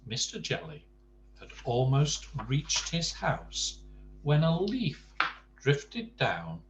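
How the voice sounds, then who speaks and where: An older man reading a bedtime story to grandchildren, expressive, with chatty asides and questions to the young listener. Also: on the high side, takes it slowly, lo-fi sound, a little hiss or hum.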